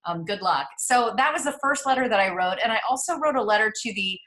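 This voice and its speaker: A woman's voice: a little high, animated, fast talker, a bit bright. A woman in her forties with a Midwestern American accent, a casting director guest telling stories in a chatty interview. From New York City. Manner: chatty storyteller, frequent laughs and restarts. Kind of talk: casual interview chat.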